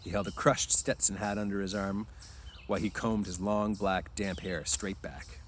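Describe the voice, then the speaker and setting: Male amateur story reader, on the low side, informal read-aloud delivery with faint noise behind. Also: steady tone, normal speed.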